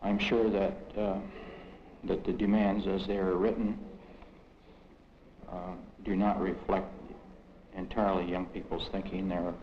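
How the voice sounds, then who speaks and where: A man making a formal, measured statement with hesitant wording. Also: deep voice, flat delivery. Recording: echoey, dull, noisy old film sound.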